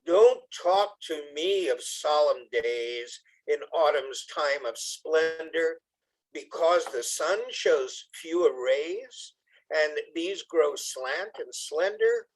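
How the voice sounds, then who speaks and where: Slow-paced man, slightly high voice. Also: poor audio. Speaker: congregation member reading poetry. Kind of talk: poetry recitation and informal introduction to an audience.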